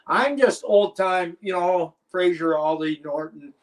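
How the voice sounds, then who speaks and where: A man's voice: high voice, a little slow. An older man and former wrestler, telling rambling, profane stories as an interview guest. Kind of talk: casual interview chat.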